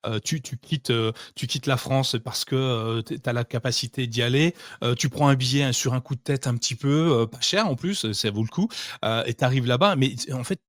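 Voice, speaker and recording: Man hosting a podcast, informal, hesitant, lots of fillers, mid pitch, dry close sound. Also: moderate pace, no room sound. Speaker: podcast host. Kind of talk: casual interview conversation.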